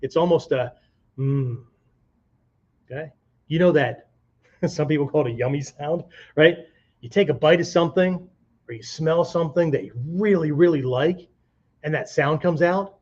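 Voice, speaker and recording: A man's voice: a little high, moderate pace, long pauses, darkish tone, lo-fi sound. A man giving an informal martial arts lesson in an emphatic, conversational way, often checking in with the listener. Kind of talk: informal spoken lesson with vocal demonstrations.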